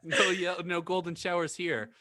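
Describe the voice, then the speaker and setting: A woman's voice: on the low side, steady tone, moderate pace, pushed and bright, dry recording, clean studio sound. A woman co-hosting a podcast in casual comedic banter. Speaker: podcast host.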